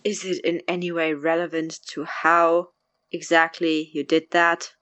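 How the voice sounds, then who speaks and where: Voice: mid-range voice, flat delivery, a little slow, pushed and bright, dry recording. A performer playing a stern, incredulous young man, blunt, sarcastic and demanding, in a naturalistic audio drama. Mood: sarcastic, impatient. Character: stern, incredulous young man confronting his experimenting housemate.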